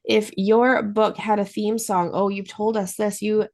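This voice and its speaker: A woman's voice: mid-range voice, little pitch movement, measured pace, gravelly, a little mellow. A woman hosting an interview, speaking in an articulate, encouraging way as she asks questions and comments. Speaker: interviewer, host of a book show.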